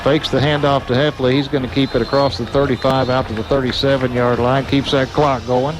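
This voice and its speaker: A man calling high school football play-by-play for radio, in a brisk, steady voice. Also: music in the background, poor audio.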